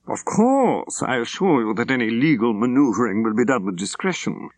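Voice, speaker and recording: A man's voice: mid-range voice, sing-song, moderate pace, no echo, voice pushed up, lightly acted. A man voicing an effusive, courteous, short and round male solicitor with a British accent.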